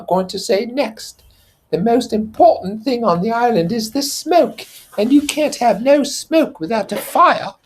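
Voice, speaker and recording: Storybook schoolboy, played by a man: high, animated, insistent, indignant organizer with clumsy grammar. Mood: assured, pleased. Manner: ungrammatical, insistent speech. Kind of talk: character voice in novel read-aloud.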